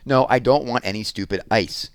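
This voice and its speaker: A man's voice: mid-range voice, normal speed, slight background noise, voice pushed up, lightly acted. A man voicing a sullen, angry young boy with a curt, grumbling manner in a children's story. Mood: pained, snappish. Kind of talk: children's audiobook character dialogue.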